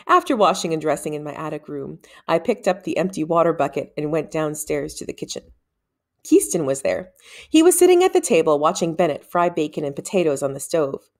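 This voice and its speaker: A woman reads fiction aloud in a slightly low-pitched voice. She phrases deliberately, giving the narration the expressive shape of storybook reading.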